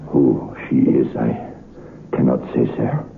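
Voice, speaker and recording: A male actor playing a grave French waiter in an old-time radio horror drama, speaking formal, halting broken English with a French accent. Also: very deep voice, level tone, vocal fry, full character voice. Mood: grave warning. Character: grave French waiter telling a ghostly tragic tale. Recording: muffled old radio recording with hiss.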